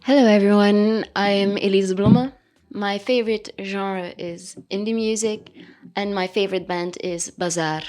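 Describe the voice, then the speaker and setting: A young woman with a Spanish accent joins a student discussion podcast. She speaks at a middle pitch. She weighs her words, a little haltingly. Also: fairly even intonation, darkish tone, soft background music. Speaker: student panelist.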